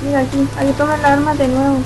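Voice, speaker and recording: A Chilean woman chats casually through a co-op game, her voice high and clipped. She swears freely and trades short callouts with her teammates. Loud game music plays underneath. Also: flat delivery, poor audio. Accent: Chilean Spanish. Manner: quick terse callouts, swearing. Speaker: gamer. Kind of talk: casual gameplay chat.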